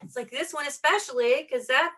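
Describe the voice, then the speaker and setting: A woman's voice: high voice, fairly quick, sharp tone. A woman co-interviewing in a casual chat, enthusiastic and jokey, gushing with praise.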